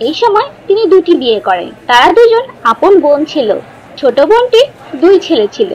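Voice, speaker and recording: Very high-voiced woman telling children's stories. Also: big pitch swings, moderate pace, a little mellow, highs cut off. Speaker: storyteller. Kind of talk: scripted children's story narration. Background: background music.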